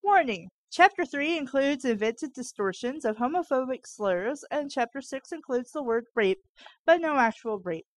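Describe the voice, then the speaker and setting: A woman's voice: high-pitched, lively intonation, normal speed, no echo. A woman co-hosting a fan fiction read-aloud, breaking off into baffled, informal asides. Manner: incredulous, informal, interjecting asides.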